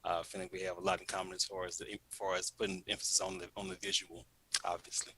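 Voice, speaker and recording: A man hosting a show, chatting loosely with many pauses and fillers. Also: low voice, level tone, bright, forceful tone, husky. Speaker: show host. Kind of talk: casual interview and show links. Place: in Louisiana.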